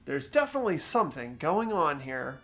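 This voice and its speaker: A man's voice: a little high, big pitch swings, a little fast, sharp tone, faint music behind, full character voice. An amateur actor voicing an earnest teenage boy protagonist in a visual novel game, thinking aloud as he investigates. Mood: curious, concerned. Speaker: host of the playthrough. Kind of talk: fan-dubbed visual novel game narration.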